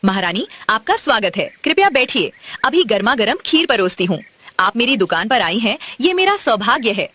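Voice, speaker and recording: A woman's voice: on the high side, very animated, normal speed, a bit bright, breathy edge, heavily acted. A woman voicing a kind, patient, forgiving little female bird in a cartoon moral story. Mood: caring, polite.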